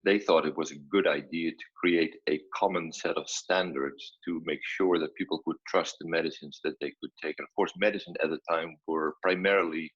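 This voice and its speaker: A man in his fifties from the Netherlands with a Dutch accent, speaking English as a second language in a measured, filler-laden way as a science guest on a video call. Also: very deep voice, steady tone, breathy edge. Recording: dull lo-fi video call audio.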